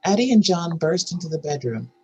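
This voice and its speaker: A narrator reading screenplay stage directions aloud in a measured, scripted table-read style. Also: mid-range voice, big pitch swings, a little mellow, a little hiss or hum.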